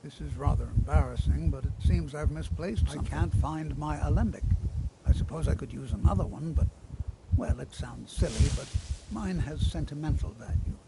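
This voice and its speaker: A kindly old wizard professor from a fantasy game, acted by a man. His voice sits high for a man, and he talks in a gentle, courteous, slightly dithering way. Loud noise runs behind him. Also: normal speed, close to an everyday voice. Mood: sheepish, gentle. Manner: gentle, polite, slightly hesitant. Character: kindly elderly male wizard teacher, gentle and absent-minded. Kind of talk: fantasy video game character acting.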